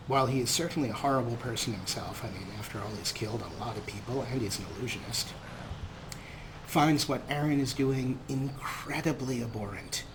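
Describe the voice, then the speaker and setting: A man gives chatty, wry gameplay commentary with a showman's flair. His voice sits at a middle pitch, with clearly audible noisy game ambience underneath. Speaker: host of a video game let's play.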